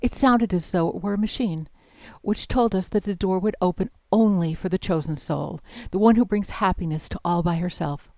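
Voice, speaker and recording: A grave female pony overmare voiced by an actress with a lowish, mellow voice. She speaks formally and solemnly, as a leader dictating a log in an audio drama, in a dry, close recording. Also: moderate pace, hardly any pauses, no echo, close to an everyday voice. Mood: solemn, resolute.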